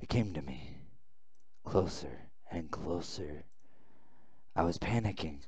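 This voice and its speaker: A man voicing a weary, fearful young man who narrates his ordeal, reading visual novel text with halting, dramatic phrasing. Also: extremely low pitch, animated, darkish tone, breathy edge, heavily acted. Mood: uneasy, hushed.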